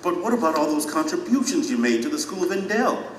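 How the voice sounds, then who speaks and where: An actor playing a self-important, naive and eager former mayor in an audio drama, pompous and full of wonder, in an echoey hall. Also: male voice, on the high side, very animated, fast, distant mic, a little hiss or hum. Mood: puzzled. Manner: pompous, wondering, hesitant when unsettled. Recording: echoey hall, music behind.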